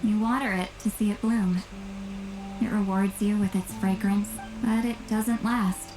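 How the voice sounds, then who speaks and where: Woman acting slow, guarded ageless granny shaman. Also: mid-range voice, fairly even intonation, natural voice, poor audio. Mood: wistful, resigned. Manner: halting, sighing, defensive. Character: long-lived, intimidating granny shaman woman, tipsy and guarded. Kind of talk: video game voice acting. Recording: game dialogue under loud music.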